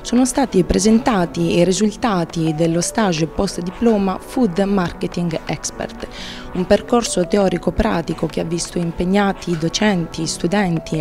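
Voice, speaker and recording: A woman's voice: lowish voice, darkish tone. A woman around her thirties working as a reporter, delivering scripted news narration and interview questions. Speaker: reporter and presenter. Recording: prominent music bed. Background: music.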